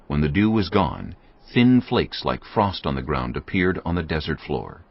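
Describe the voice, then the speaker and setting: A man narrating scripture in a measured, steady reading, on dull audio with faint music behind. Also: a bass voice, creaky.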